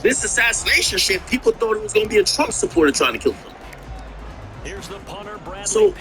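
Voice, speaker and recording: A man's voice: high-pitched, big pitch swings, a little fast, bright, forceful tone, soft background music. A man from Jamaica ranting about politics in a blunt, profane way, often stammering and restarting his sentences. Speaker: streamer. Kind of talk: casual political rant.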